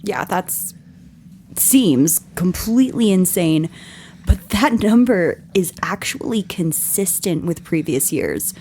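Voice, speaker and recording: A woman's voice: on the low side, lively intonation, takes it slowly, mellow. Scratchy-voiced woman podcast host. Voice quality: scratchy, husky. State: scratchy, strained voice from yelling. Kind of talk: scripted podcast talk.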